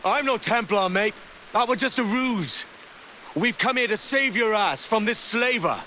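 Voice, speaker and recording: An actor playing a roguish, cocky young pirate in a video game, wry and cheeky in manner. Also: male voice, high voice, animated, measured pace, pushed and bright, a little hiss or hum, heavily acted. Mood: defiant, wry. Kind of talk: cinematic video game acting.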